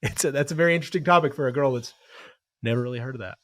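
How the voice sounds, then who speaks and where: A man's voice: mid-range voice, very fast, fairly bright tone, no room sound, studio quality. Laid-back young man on a sports podcast. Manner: laid-back, rambling storyteller. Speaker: podcast co-host. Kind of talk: casual sports chat.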